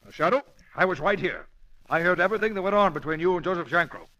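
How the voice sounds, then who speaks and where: Blustery radio-drama police commissioner, highish forceful voice, formal, emphatic, self-satisfied. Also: male voice, lively intonation, fast, dry recording, dull audio. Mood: indignant. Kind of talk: old-time radio crime drama acting.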